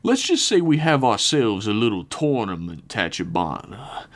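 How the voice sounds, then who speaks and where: A man's voice: on the low side, very animated, moderate pace. A man voicing a gargantuan talking snake villain who sounds bored and taunting in a mock-genteel way.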